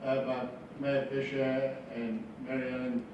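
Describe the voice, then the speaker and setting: A man's voice: mid-range voice, flat delivery, normal speed, dull audio, noisy background. South African male speaker, wry and informal business talk, very reverberant room, distant mic. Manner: wry, self-deprecating humor. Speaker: business founder, guest speaker. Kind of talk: informal motivational business speech.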